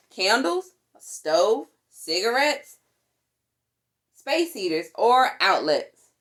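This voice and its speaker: A woman reading a children's picture book aloud in an expressive, deliberate way, with playful exclamations. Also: fairly high pitch. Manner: deliberate, animated, with playful sound words and exclamations. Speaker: storytime reader for a fire department.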